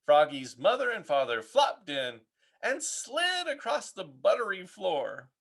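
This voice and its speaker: A man narrates a picture book for children. His delivery is slow and playful, with hugely varied, expressive pitch that sits high for a man. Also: gravelly. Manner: playful with sound words. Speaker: storybook reader.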